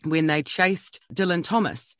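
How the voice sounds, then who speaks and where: A man's voice: fairly high pitch, unhurried, a bit bright, no echo, clean studio sound. A man hosting a casual interview, easygoing and affirming. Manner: easygoing, affirming, loose filler words. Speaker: podcast host.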